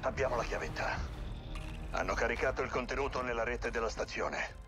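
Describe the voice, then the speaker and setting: An actor playing a focused, grim special forces soldier in a video game, reporting in urgent, clipped tactical phrases. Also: male voice, mid-range voice, brisk, music in the background, lightly acted. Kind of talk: cinematic video game acting.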